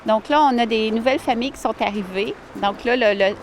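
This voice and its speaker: A woman, answering interview questions warmly and earnestly outdoors by a lake, with many fillers and restarts. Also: fairly high pitch, brisk, a little hiss or hum. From Ottawa, Canada. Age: 40s. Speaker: village mayor, interviewee.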